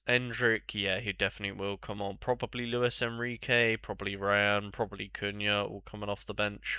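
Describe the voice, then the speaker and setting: A young man, talking casually on a live stream, his voice stuffed-up and congested. His tone is bright and clear, and the sound is close and dry with no room around it. He rambles, answering viewers as he goes. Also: on the low side, no room sound. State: congested, bunged up.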